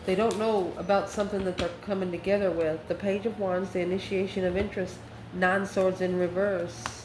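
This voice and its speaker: A woman's voice: medium pitch, flat delivery, a little fast. A woman giving an improvised tarot reading to viewers, thinking aloud conversationally in short phrases. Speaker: tarot reader, channel host. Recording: roomy echo, faint background noise.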